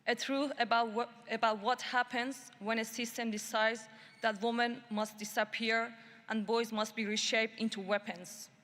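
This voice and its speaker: A woman from Iran addresses a human rights summit from the stage of a large conference hall. Her voice sits a little high, and she lays out each point in a measured, emphatic way. A long reverb trails behind her words. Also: fairly even intonation, a bit bright. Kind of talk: prepared speech.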